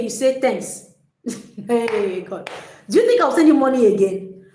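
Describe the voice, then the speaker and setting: A woman coaches her listeners with energy and emphasis, often repeating her points. Her voice sits a little high. The sound carries a noticeable echo from the room. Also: a little mellow. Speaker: relationship coach. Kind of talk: motivational teaching talk. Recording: echoey room.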